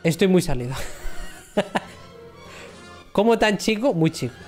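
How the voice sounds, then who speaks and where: A man's voice: high voice, very animated, measured pace, a little mellow, soft background music. A man in his thirties chatting casually with his viewers while he plays, joking and mocking himself from his gaming chair at home. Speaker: livestream gamer. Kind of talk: casual banter with chat.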